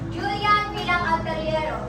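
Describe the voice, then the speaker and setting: A woman's voice: very high-pitched, moderate pace. A woman reading out scripted narration as announcer for a school stage play. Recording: echoey, distant, loud music over voice.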